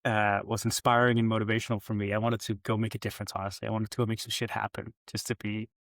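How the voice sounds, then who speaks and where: A man's voice: on the low side, flat delivery, quick pace, fairly bright tone, dry recording, clean studio sound. A middle-aged man from Denmark speaking as a podcast guest in a casual, candid, informal and self-deprecating way. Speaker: podcast guest, business executive. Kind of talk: casual conversational interview.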